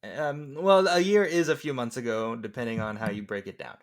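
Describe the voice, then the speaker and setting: Man, podcast host, medium pitch, casual film chat. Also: steady tone, brisk.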